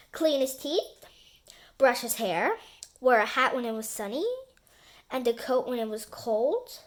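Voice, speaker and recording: A woman with a high voice narrates a children's story. Her reading is expressive and deliberate, with dramatic emphasis on key words. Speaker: storybook reader.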